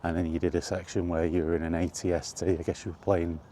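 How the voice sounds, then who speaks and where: A middle-aged man chatting casually and reminiscing in a halting, thinking-aloud way, in short rambling phrases. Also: deep voice, level tone, mellow, a little hiss or hum. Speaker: video creator and host. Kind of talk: casual reminiscing monologue about retro games. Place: outdoors while walking.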